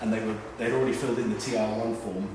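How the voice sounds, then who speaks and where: Male host, quick and businesslike, recorded distant in a reverberant, noisy room. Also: lowish voice, fairly even intonation. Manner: brisk, wraps up with disclaimers and plugs. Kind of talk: casual panel discussion.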